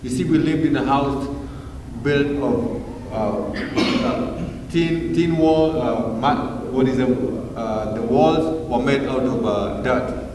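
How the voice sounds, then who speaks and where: A man's voice: mid-range voice, slow pace, background noise. Kenyan male speaker, casual storyteller who talks to the crowd, roomy distant sound. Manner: casual, engaging the crowd with questions. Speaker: guest speaker. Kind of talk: storytelling talk to a live audience.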